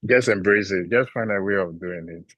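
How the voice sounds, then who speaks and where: A man from Ghana with a Ghanaian English accent, giving measured interview answers about teaching. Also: low voice, fairly bright tone, no room sound, highs cut off. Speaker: international PhD student and teacher.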